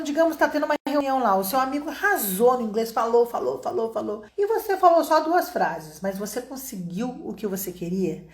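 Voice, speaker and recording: A chatty Brazilian woman gives a casual video lesson, talking straight to her viewers in a lively, animated way. Her voice sits a little high. The room around her is echoey. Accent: Brazilian Portuguese. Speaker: English teacher.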